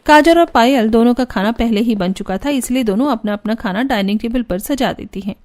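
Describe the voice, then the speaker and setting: A woman's voice: a little high, fairly quick, a little mellow. A woman narrator reading a scripted cartoon story.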